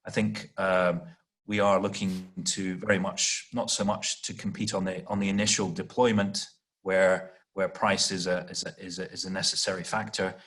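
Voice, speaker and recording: A man answering as an executive guest in an interview, explaining off the cuff with halting, repeated words as he searches for phrasing. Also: sits low, flat delivery, fairly bright tone. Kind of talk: panel interview, extemporaneous explanation.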